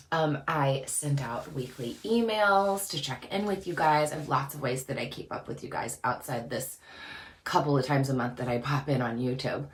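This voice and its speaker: A woman hosting her channel, giving chatty, upbeat voice-over tips in a casual teaching style. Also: low-pitched. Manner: upbeat, chatty, lively emphasis. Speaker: voice-over coach and channel host. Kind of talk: casual instructional talk to camera.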